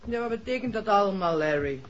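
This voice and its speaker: A dull vintage radio drama recording of a woman doctor, played by an actress. Her voice is on the low side for a woman, composed and authoritative. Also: animated, quick pace, a crowd in the background, close to an everyday voice. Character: composed, authoritative female doctor taking charge. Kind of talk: 1960s radio drama acting.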